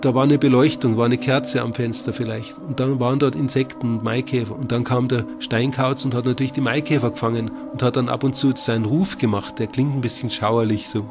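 A man explains in a casual storytelling way. His voice is medium in pitch, with music clearly audible behind it. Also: steady tone, fairly quick, hardly any pauses.

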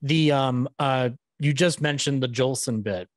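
A man's voice: mid-range voice, a little slow, no room sound. A man in his thirties hosting a casual interview on a close microphone, chatty and stumbling over his phrasing. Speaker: podcast host. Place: small room, indoors, on a video call. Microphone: close podcast microphone on a boom arm.

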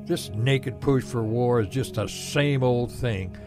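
A bookish backwoods preacher acted by a man holds forth in an audio drama. His mid-pitched voice is pompous, folksy and grumbling, over faint music. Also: slow pace, natural voice. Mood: disapproving, oratorical. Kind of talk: audio drama panel debate.

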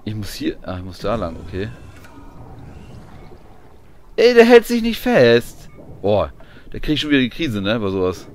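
A man's voice: fairly low pitch, sing-song, normal speed, long pauses, a little hiss or hum. A man giving casual, colloquial and often grumbling commentary while playing a video game. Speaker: gamer doing a let's play.

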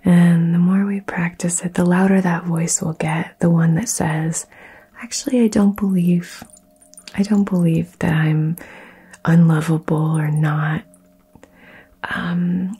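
Slow whispering woman, soothing ASMR. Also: on the low side, fairly even intonation, dark tone, husky. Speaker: ASMR host. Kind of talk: whispered ASMR affirmation session.

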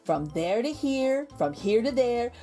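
A woman reading a picture book aloud to young children, with expressive, exaggerated intonation and drawn-out words. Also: fairly high pitch. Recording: background music under the voice. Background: music.

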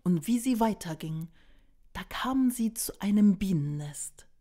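A woman storyteller narrating a fairy tale expressively, unhurried and dramatic, at times hushed. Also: mid-range voice, expressive. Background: piano music.

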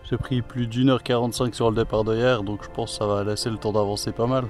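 A man talks to his camera while kayaking alone, relaxed and chatty, thinking aloud as he goes. His voice sits at an ordinary middle pitch, and some faint music plays beneath it. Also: fairly quick. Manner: relaxed and chatty, thinks aloud, swears casually, many fillers. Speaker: solo kayak traveller vlogging his trip. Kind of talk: casual vlog narration to camera. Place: outdoors by a river.

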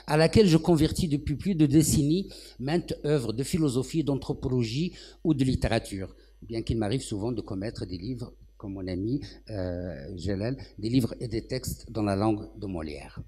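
A man's voice: mid-range voice, moderate pace, mellow. A man in his sixties from Morocco, speaking as a translator on a round-table panel, thoughtful with many hesitations and restarts, on a handheld mic on an indoor festival stage. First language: Arabic. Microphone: handheld microphone.

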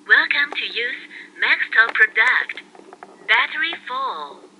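A woman's voice: fairly high pitch, big pitch swings, slow pace. A woman's voice giving short scripted system announcements as a device prompt through a small intercom speaker. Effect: small intercom speaker playback. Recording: dull small-speaker playback, faint noise.

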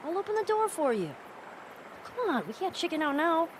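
A woman's voice: extremely high pitch, big pitch swings, brisk. An actress playing a spirited, cheeky teenage girl with an American accent in a naturalistic video game, talking in a casual, teasing way. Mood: playful. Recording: outdoor ambience and water noise.

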